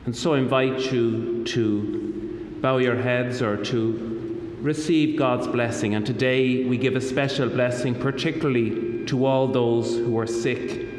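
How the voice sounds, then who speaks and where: Irish guy, middle-aged bishop giving mass, measured and formal, big echoey room w some noise. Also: medium pitch, fairly even intonation, a little mellow. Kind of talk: liturgical address and blessing. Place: hospital chapel. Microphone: microphone on stand at lectern. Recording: echoey chapel with long reverb, noisy.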